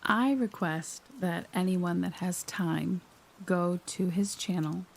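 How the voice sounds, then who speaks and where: Gravelly-voiced woman co-host, upbeat and chatty. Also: lowish voice, takes it slowly. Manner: upbeat, asks chat questions. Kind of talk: casual interview chat.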